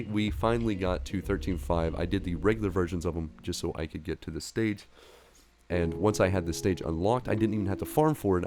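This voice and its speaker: A man giving casual, rambling gameplay commentary as a voice-over, his voice nasal and a little hoarse from a recent illness, with frequent fillers. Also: low-pitched. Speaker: gaming channel host. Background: guitar music.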